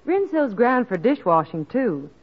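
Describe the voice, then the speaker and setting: A muffled old radio recording of a woman in 1940s advertising patter. Her voice is fairly high and husky, with perky, emphatic delivery. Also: sing-song, a little slow. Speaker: radio commercial performer.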